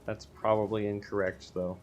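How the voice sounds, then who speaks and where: A man's voice: lowish voice, little pitch movement, moderate pace, darkish tone, lo-fi sound. A man with a scratchy voice, rambling through casual gameplay commentary as the host. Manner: rambling, self-interrupting. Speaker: gaming video host.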